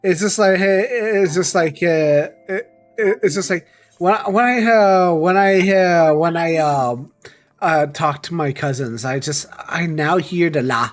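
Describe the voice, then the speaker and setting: A man's voice: on the high side, a little slow. Stammering man on a stream. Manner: stammering restarts. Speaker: co-host guest. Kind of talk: casual chat.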